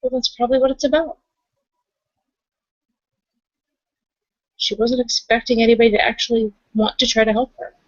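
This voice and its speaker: A woman playing an outspoken, hopeful, excitable member of a werewolf pack in a tabletop roleplaying game. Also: on the high side, fast talker, no echo, lo-fi sound, close to an everyday voice. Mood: matter-of-fact. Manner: emphatic, frank, casual.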